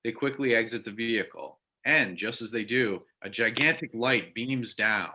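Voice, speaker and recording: A man narrates a scripted play. His voice is bright and forceful, at an average pitch. He reads stage directions as the narrator. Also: normal speed. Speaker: narrator and reader.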